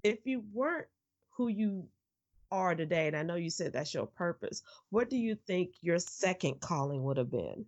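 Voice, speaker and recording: A woman's voice: lowish voice, sing-song, normal speed, a little mellow, lo-fi sound. A woman interviewing a guest, with an encouraging, affirming manner. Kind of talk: interview conversation.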